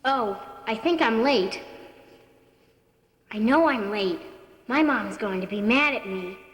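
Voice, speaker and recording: A woman's voice: fairly high pitch, animated, slow, a bit bright. A woman voicing a worried eleven-year-old schoolgirl in a children's puppet show, flustered and exclaiming. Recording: echoey hall.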